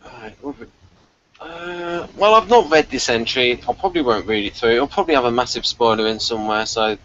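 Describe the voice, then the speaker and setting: A man hosting a casual TV review chat, halting and repeating himself as he goes, on a lo-fi home recording. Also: medium pitch, fairly bright tone, slight background noise. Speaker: podcast review host.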